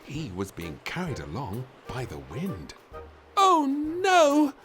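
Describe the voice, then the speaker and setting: Children's read-along narrator, a man with a very deep, unhurried and richly varied voice, expressive storytelling. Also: over music.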